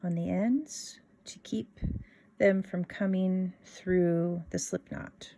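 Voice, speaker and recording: A woman guides a craft tutorial in a slow, slightly low voice. Her tone has a lot of fry in it. She is informal and thinks aloud as she works through each step. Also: steady tone, warm tone.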